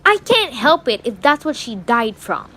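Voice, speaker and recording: A woman's voice: fairly high pitch, very animated, unhurried, pushed and bright, exaggerated voice. A teenage girl playing a blunt, cynical young factory worker in a radio drama, dismissive and matter-of-fact. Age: teenage high school student.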